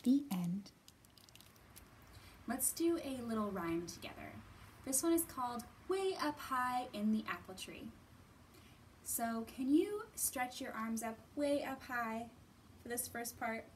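A woman's voice: a little high, animated, slow pace, pauses often, a little hiss or hum. A woman in her thirties hosting a children's storytime, reading and talking expressively to young listeners as a librarian. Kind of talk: picture book read-aloud and storytime talk for young children.

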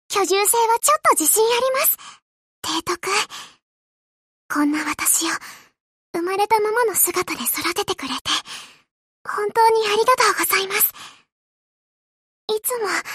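An actress voicing a shy, earnest young anime warship girl in a game, polite and gentle with a hesitant, stammering manner. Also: very high voice, dry recording, studio quality, exaggerated voice. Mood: gentle, grateful.

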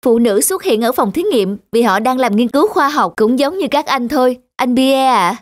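A woman's voice: high voice, lively intonation, moderate pace, no room sound, studio quality. A woman voicing an earnest, determined young scientist in a cartoon, polite but firm. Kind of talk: animated drama dubbing. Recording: music under the voice.

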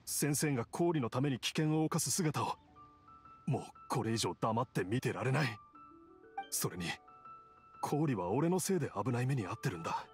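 An actor playing an earnest, desperate young village man in a video game, speaking plainly and roughly with halting intensity. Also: medium pitch, natural voice. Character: earnest, desperate young village husband, sickly but determined. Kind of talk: Japanese video game voice acting. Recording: studio voice over game music. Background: background music.

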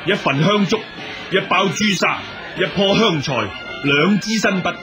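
Pompous, high-pitched monk exorcist. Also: male voice, measured pace. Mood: confident, boastful. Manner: declamatory, self-assured. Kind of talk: Cantonese radio drama acting. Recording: music behind the dialogue.

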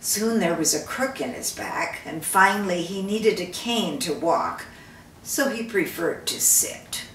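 An older woman reading a story aloud expressively, with deliberate, measured phrasing. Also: lowish voice, lively intonation, fairly bright tone, slight background noise. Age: older, 60s or 70s. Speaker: storyteller, reader. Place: living room by a fireplace. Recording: roomy echo, distant microphone.

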